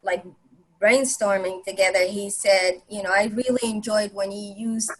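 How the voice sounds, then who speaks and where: A woman whose first language is Arabic speaks in a relaxed group discussion. She often stops and restarts, dropping fillers between her thoughts. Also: medium pitch, rough recording. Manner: halting, many restarts and fillers. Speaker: presenter on language barriers.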